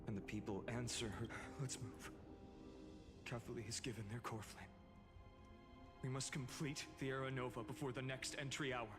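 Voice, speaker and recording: An actor playing a stoic, resolute soldier-hero in a fantasy game, grave and measured. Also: male voice, medium pitch, steady tone, natural voice. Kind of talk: scripted fantasy game acting. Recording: long reverb, game music behind.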